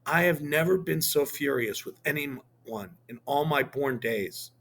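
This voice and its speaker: A man voicing a calm, stern mother. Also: a little high, vocal fry, full character voice. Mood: reproachful. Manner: quiet, measured, reproachful. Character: calm, quietly stern middle-aged mother.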